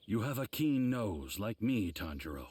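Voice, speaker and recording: A man voicing a stern but caring old sword master in an anime game, gruff and solemn. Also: big pitch swings, a little mellow, a little hiss or hum. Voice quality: husky, gruff, low. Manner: measured, solemn mentoring.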